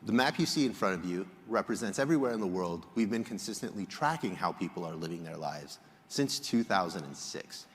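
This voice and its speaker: A man from Egypt giving a prepared public presentation as a researcher, explaining in a measured way with emphatic phrasing. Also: low voice, expressive, slight background noise. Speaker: conference speaker, polling researcher. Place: large indoor hall, on stage. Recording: reverberant hall sound.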